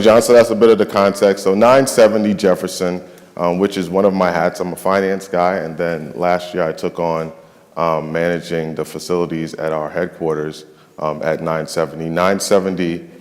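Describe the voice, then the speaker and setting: A man's voice: low-pitched, darkish tone, in a large hall. A young man from the Bahamas, a nonprofit finance and facilities staffer, speaking on a summit panel with many ums and restarts. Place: large event hall.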